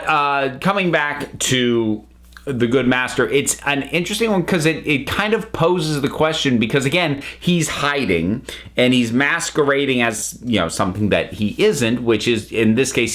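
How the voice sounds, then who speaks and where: A man's voice: mid-range voice, a bit bright. A man giving a casual spoken review to viewers, thoughtful and emphatic, often breaking off to rephrase.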